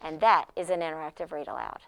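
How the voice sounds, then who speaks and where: A woman who teaches pre-K, demonstrating an interactive read-aloud in an expressive manner, as if speaking to young children. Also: low voice, fast talker, gravelly, smooth.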